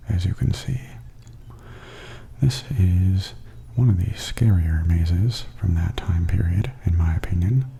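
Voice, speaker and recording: Very deep, hushed ASMR man. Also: vocal fry. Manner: hushed, often whispery delivery. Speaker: ASMR video host. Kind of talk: soft-spoken ASMR commentary. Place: voice-over added after filming.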